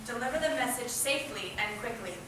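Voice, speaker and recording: A woman's voice: on the high side, lively intonation, fast. A woman narrating a scripted story as part of a readers' theatre group. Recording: echoey hall, distant mic, loud noise.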